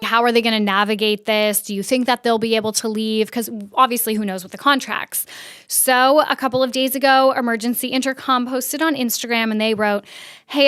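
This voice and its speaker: A woman's voice: on the high side, fairly bright tone, clear, resonant tone. A woman hosting a podcast, giving casual, conversational news commentary in a chatty, informal and emphatic way. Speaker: host of an internet news podcast.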